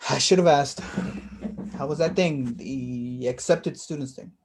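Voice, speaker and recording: A woman's voice: a bass voice, unhurried, darkish tone, a little hiss or hum. A woman playing an insistent, needling younger brother of college-graduate age in a naturalistic staged play reading, pressing and direct. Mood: curious, pressing.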